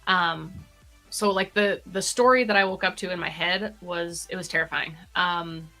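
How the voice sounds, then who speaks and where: A woman co-hosting a vlog, telling a personal story in casual, informal and animated chat. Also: fairly low pitch. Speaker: vlog co-host.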